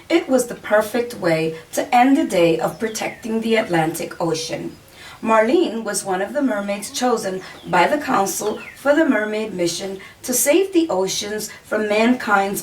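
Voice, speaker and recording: A woman reads a children's story aloud with deliberate phrasing. Her mid-range voice rises and falls expressively. She sounds a little distant from the microphone, with faint noise behind her. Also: medium pitch. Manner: deliberate, pauses to point out the pictures. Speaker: children's author reading own picture book aloud.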